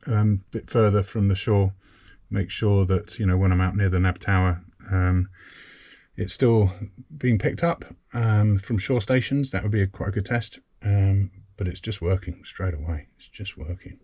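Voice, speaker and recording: A man's voice: deep voice, moderate pace, mellow. A man talking casually to camera as a sailing vlogger, talking through each step of a hands-on job as he works. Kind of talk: casual narrated hands-on demonstration. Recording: clear background noise with clicks.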